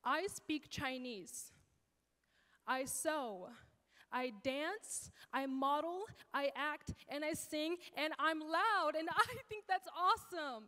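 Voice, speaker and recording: A woman's voice: high voice, animated, takes it slowly, pushed and bright, long reverb tail. A teenage girl reciting a spoken word piece in an earnest, rhythmic way on a church stage into a handheld mic. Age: teens. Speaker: teen performer. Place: church stage, large hall.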